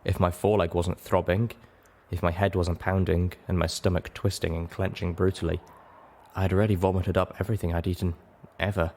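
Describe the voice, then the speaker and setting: A man reading a first-person adventure story aloud as a dramatic narrator, with expressive and deliberate phrasing. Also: low-pitched. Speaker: audiobook narrator.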